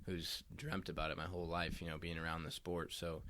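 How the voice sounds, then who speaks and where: A young man with a low voice answers interview questions in an even, flat delivery. He talks haltingly and restarts his phrases, repeating words as he goes. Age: young adult. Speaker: college basketball player.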